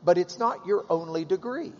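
Jovial male host, slightly high voice, reverberant hall. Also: lively intonation, normal speed. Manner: jovial, joking, many fillers. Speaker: host interviewer. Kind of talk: live stage interview. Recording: dull sound with long hall reverb.

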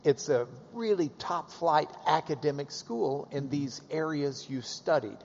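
Jovial male host, slightly high voice, reverberant hall. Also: expressive, measured pace, vocal fry, a little hiss or hum. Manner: jovial, joking, many fillers. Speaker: host interviewer. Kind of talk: live stage interview. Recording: dull sound with long hall reverb.

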